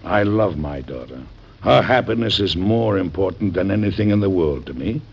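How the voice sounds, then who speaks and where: A man's voice: sits low, lively intonation, moderate pace, gravelly, a little hiss or hum. An actor with an American accent playing a stern, protective, middle-aged President and father in old-time radio drama, formal and emphatic. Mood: firm, earnest. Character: stern, protective President and father, hot-tempered but loving. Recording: muffled old radio recording.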